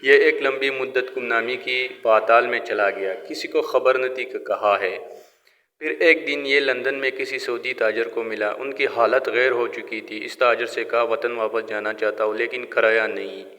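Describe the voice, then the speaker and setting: A man's voice: mid-range voice, fairly even intonation, moderate pace, bright, forceful tone. A man narrating a scripted moral story in a solemn, declamatory storyteller's manner. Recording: long hall-like reverb on the voice.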